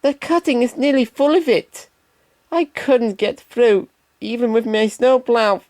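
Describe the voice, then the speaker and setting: A man's voice: very high voice, unhurried, a little mellow, no room sound, voice pushed up. A man voicing a small, earnest male tank engine in a children's storybook, with an urgent, eager manner. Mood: anxious warning.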